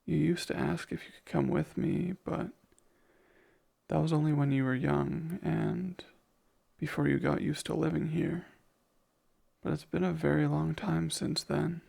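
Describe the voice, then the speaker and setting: A man acting as kind male vampire, very creaky voice, husky, tender, formal, soothing ASMR roleplay. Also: fairly high pitch, expressive, unhurried, darkish tone, heavily acted. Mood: reluctant, questioning. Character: gentle, protective male vampire caretaker.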